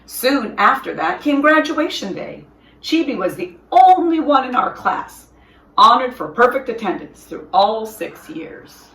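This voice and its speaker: Echoey, expressive woman reading a storybook. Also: a little high, a little slow. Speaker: storyteller reading a children's picture book. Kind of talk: expressive read-aloud of a children's story. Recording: roomy echo, distant from microphone.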